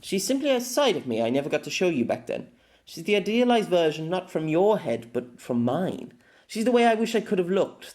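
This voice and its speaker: A man's voice: a little high, a little mellow. A man voicing a weary, lonely, long-lived empress in an audiobook, reading her farewell letter in a formal, wistful manner. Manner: formal, wistful, measured with dramatic pauses. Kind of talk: scripted audiobook narration of a letter.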